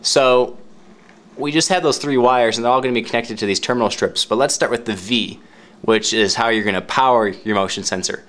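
A man's voice: medium pitch, fairly quick, a bit bright. A man narrating a step-by-step product tutorial in an informal, explanatory way, repeating key points for clarity. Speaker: product demonstrator.

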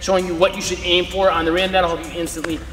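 A man's voice: sits high, flat delivery, quick pace, fairly bright tone, a little hiss or hum. A man in his thirties, a basketball coach, giving casual instruction while demonstrating moves in a large indoor gym, filmed by a camera some distance away. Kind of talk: instructional video. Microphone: far camera. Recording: echoey gym with long reverb.

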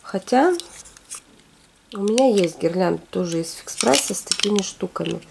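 A woman's voice: mid-range voice, expressive, moderate pace, gravelly, noisy background. Chatty woman with a cold. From Russia. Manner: chatty, rambling, talks to herself. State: hoarse from a cold. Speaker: shopping haul vlogger. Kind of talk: casual product haul chat. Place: home, recording products on a fluffy rug.

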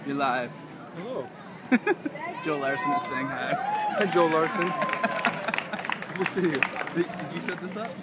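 A man's voice: fairly high pitch, animated, measured pace, long pauses. Easygoing man chatting at a street fair. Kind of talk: casual chat on a live stream. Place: outdoor city street festival. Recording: phone video with loud crowd and street noise.

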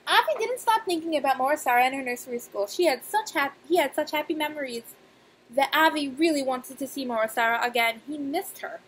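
A young woman, a nursery teacher, reading aloud and talking to young children in simple, expressive speech with emphatic pauses. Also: high-pitched, poor audio. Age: young adult. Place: small indoor room. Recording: clear background noise, webcam-style room recording.

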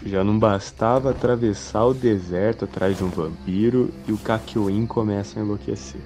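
A man voicing an easygoing, grumbling older father figure in a comic anime parody, with a gravelly voice and Brazilian accent. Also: fairly low pitch, steady tone, moderate pace, warm tone, soft background music, close to an everyday voice. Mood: exasperated.

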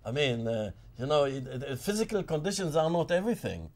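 A man's voice: fairly high pitch, fairly quick. Older man telling his life story. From born in Germany, grew up in Palestine. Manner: animated storytelling, many fillers and restarts. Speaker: interviewee. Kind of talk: recollecting in an interview. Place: indoor office with shelves.

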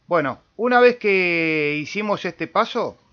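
A man with an Argentine accent giving a casual step-by-step software tutorial as host, with small check-in tags. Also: high voice, animated, measured pace. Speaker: amateur radio tutorial host. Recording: dull lo-fi voice-over recording.

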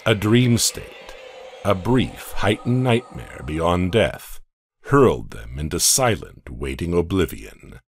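A man narrating a horror audio comic in a dramatic, measured storytelling manner. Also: low-pitched, very animated, gravelly, breathy edge, no room sound. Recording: music bed underneath.

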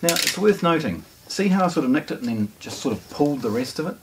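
A man's voice: on the high side, sing-song, quick pace, noisy background. A man presents a product in a casual, plain-spoken unboxing style, talking to camera indoors. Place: indoors, small showroom with shelves.

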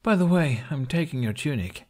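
A man's voice: fairly high pitch, animated, normal speed, voice pushed up, natural voice. A man voicing a bold, teasing young woman, a burglar heiress, with a sly, dry manner in an audiobook.

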